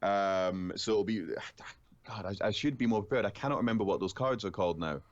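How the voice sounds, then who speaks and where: A man with a low voice and a loud way of talking joins a gaming podcast remotely. He stammers and repeats himself as he works out his thoughts, and the call audio sounds a little dull and lo-fi. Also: a little fast. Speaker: podcast guest. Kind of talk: casual gaming chat.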